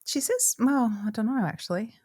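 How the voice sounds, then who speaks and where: A woman's voice: a little high, animated, moderate pace, a little mellow. A woman, co-hosting a podcast in casual chat, bubbly and joking, often laughing. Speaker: podcast co-host.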